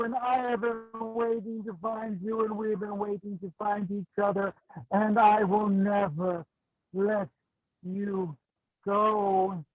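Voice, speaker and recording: A man with a high voice tells a made-up tale very slowly and theatrically. The sound is narrow and phone-like. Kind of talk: slow dramatic improvised storytelling. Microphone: video call. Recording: thin phone-like video call audio.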